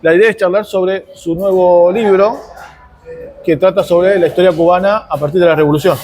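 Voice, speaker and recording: Argentine interviewer, man with a highish voice. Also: fairly even intonation, moderate pace, background noise. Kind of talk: informal interview questioning.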